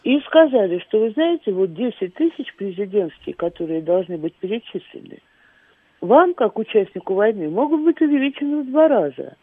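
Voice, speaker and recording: A woman's voice: medium pitch, big pitch swings, moderate pace, slightly rough, no room sound. Very old woman on a phone line. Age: 90s. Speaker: caller. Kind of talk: phone-in chat. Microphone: telephone.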